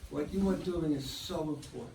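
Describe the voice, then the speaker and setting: Earnest man, slightly high, in a hall. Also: warm tone. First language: German and Sicilian. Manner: earnest, slow, searching for words. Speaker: audience member. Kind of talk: audience comment. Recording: echoey hall, mic far off, faint noise.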